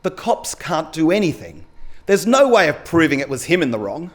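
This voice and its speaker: A man's voice: fairly high pitch, sing-song, moderate pace. A man reading the part of an injured, vengeful cyclist in a story, emphatic and colloquial. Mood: bitter, insistent.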